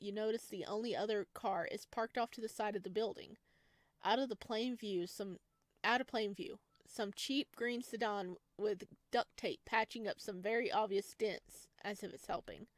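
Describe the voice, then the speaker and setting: A woman reading the text of a horror visual novel aloud at an even pace, stopping for chatty asides and giggles. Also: mid-range voice, dry recording. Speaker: game streamer.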